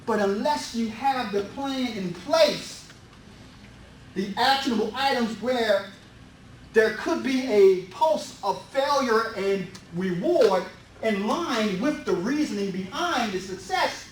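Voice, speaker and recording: High-pitched man presenting in echoey room. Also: expressive, takes it slowly. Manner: emphatic, repetitive, rhetorical questions. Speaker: real estate seminar presenter. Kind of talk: motivational presentation. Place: indoor meetup presentation room. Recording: distant, echoey live room with background noise.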